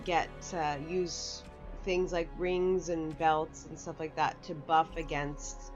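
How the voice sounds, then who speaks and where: A woman's voice: low-pitched, flat delivery, slow, muffled recording. A woman giving casual, chatty, rambling walkthrough commentary for a video game. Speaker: gaming video host. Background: faint game music.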